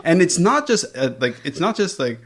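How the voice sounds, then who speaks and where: A man's voice: on the high side, sing-song, normal speed, a little hiss or hum. A man hosting an interview in a guest's home, talking casually with playful teasing and frequent laughter. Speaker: podcast host.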